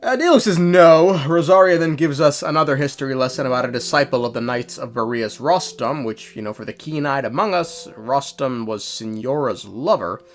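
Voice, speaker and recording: A man's voice: mid-range voice, moderate pace, few pauses, soft background music. A man giving a casual, irreverent game story recap with sweary, sardonic asides.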